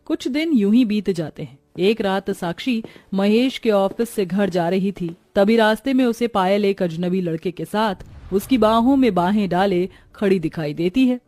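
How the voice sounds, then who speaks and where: A woman's voice: mid-range voice, moderate pace, few pauses, dark tone, no room sound. A woman reading scripted storytelling narration for a cartoon moral tale.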